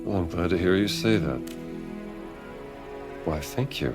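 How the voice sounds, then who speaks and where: Rugged archaeologist hero in a game cutscene, really deep voice, dry and wry, music under it. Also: male voice, lively intonation, unhurried. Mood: polite, wry. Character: rugged, wry adventurer archaeologist, middle-aged man. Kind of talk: video game cutscene acting.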